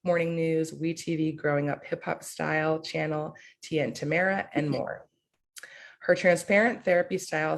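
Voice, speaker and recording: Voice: medium pitch, vocal fry. A host reading a formal introduction of a guest speaker from a list. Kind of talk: read introduction.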